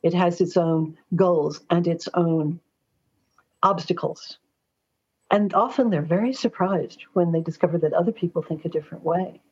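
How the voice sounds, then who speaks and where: A mature American woman with a husky voice that sits a little low. She talks as an interview guest, plain-spoken and anecdotal. The recording is a bit muffled and lo-fi. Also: moderate pace, darkish tone. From Los Angeles, United States. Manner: forthright, chatty, anecdotal. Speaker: astrologer and columnist, interview guest. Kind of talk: conversational interview storytelling. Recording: slightly dull, lo-fi audio.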